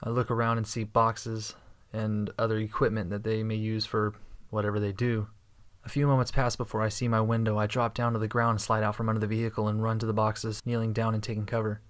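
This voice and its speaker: Male narrator, first-person sci-fi audio story. Steady, understated, little pitch movement. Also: brisk, clear, resonant tone. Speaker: narrator and protagonist.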